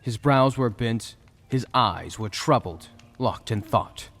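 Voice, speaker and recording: A man's voice: mid-range voice, slow. A man narrating a fantasy story in a dramatic, scripted storytelling style. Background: soft music.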